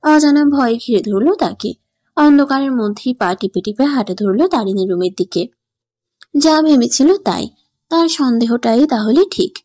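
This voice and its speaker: Mellow female story narrator, dry sound. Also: a little high, lively intonation, moderate pace, no room sound. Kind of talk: scripted dramatic story narration.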